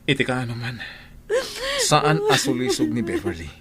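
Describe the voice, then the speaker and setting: A man's voice: mid-range voice, wildly swooping pitch, normal speed, over a noisy crowd. A man acting a callous, unfaithful young husband in an Ilocano audio drama, dismissive and unrepentant, with emphatic melodramatic delivery. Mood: scornful, defiant.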